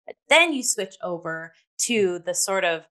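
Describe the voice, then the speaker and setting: A woman's voice: fairly low pitch, big pitch swings, a little slow, sharp tone. A woman co-hosting a theatre review podcast, explaining things casually and loosely, example by example.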